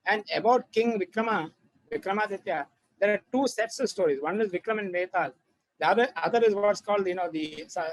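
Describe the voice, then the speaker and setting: Man, high voice, informal expert explainer for children, didactic, repeats points, dry recording. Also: no room sound, poor audio. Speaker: expert author and researcher.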